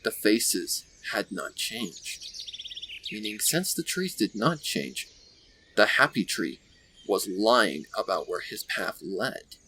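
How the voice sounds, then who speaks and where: A man's voice: fairly low pitch, lively intonation, slow pace, bright, forceful tone, a little hiss or hum. A man reading scripted story narration as the narrator of a dramatic tale.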